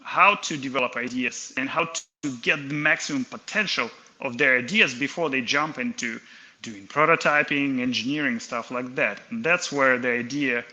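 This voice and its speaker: Bright-voiced man from Kazakhstan. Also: medium pitch, normal speed, low-quality recording. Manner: hesitant, many fillers and restarts. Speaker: inventor guest. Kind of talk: casual interview talk.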